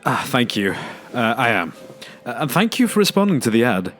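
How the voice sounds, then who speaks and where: A man's voice: mid-range voice, sing-song, moderate pace, fairly bright tone, soft background music. Lilting, courteous man. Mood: polite, nervous. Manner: polite, tentative. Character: courteous, inquisitive family man interviewing a stranger. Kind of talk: naturalistic audio drama dialogue.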